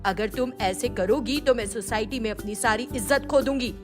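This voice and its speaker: A woman's voice: fairly high pitch, normal speed, close to an everyday voice. A woman voicing a strict, indignant middle-aged mother-in-law who scolds and exclaims in a storybook tale. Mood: stern scolding. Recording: music behind the voice.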